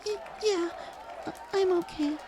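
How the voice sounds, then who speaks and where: Man putting on a very high, gentle mare. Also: measured pace, crowd noise, noisy background. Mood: shaken, timid. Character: shy, soft-spoken young female pony performer. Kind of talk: storybook narration character voice.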